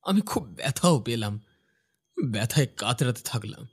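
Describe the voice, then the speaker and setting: Man as young office worker narrating, mid-pitched. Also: sing-song, moderate pace, husky. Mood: fearful. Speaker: first-person narrator. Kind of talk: scripted horror story narration.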